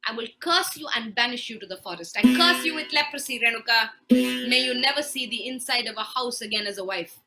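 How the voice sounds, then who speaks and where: A woman voicing a stern, imperious sage husband who gives slow, deliberate orders and flies into shouting rage. Soft guitar plays behind her. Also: high-pitched, lively intonation, gravelly, full character voice. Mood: furious.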